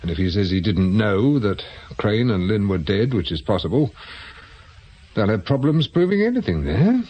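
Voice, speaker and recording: A man's voice: on the low side, big pitch swings, normal speed, mellow, low-quality recording. A British man acting in a radio drama as a world-weary, sardonic private investigator who used to be a policeman. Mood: dry, matter-of-fact. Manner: dry, sardonic. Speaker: actor.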